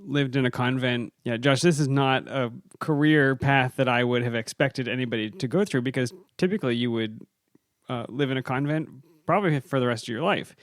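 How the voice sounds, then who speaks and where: A man co-hosts a podcast, speaking in a measured, earnest way with a few "uh"s as he sets up the guest. His voice is mid-pitched. The sound is close and dry. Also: no room sound. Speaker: podcast co-host. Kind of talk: casual podcast chat.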